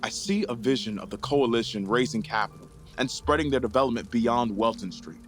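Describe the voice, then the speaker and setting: An actor playing an eager, idealistic grown son in an audio drama, speaking earnestly as a young adult. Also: male voice, mid-range voice, fairly quick, soft background music, natural voice. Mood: determined, passionate.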